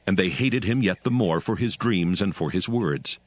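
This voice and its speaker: A man's voice: low voice, lively intonation, normal speed, dry recording. A man narrating a dramatized Bible story in a solemn storytelling style. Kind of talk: dramatic scripted Bible reading.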